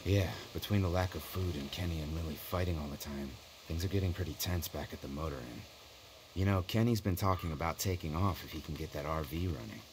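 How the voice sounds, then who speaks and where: A man's voice: very deep voice, brisk, noisy background. A man with an American accent playing a frustrated, hungry, talkative survivor in a video game. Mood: grumbling, wry.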